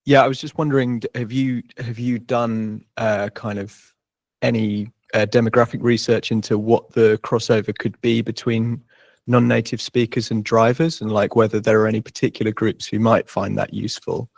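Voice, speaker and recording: A student in the audience, not a native Finnish speaker, asking a tentative question with hesitations. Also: male voice, fairly low pitch, level tone, moderate pace, creaky, darkish tone, dry recording.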